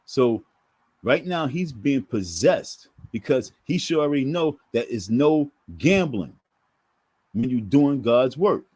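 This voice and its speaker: A man's voice: medium pitch, lively intonation, slow, darkish tone, husky, dry recording. A man giving preachy casual commentary to his viewers, emphatic and repetitive in a sermon-like way. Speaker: online commentator.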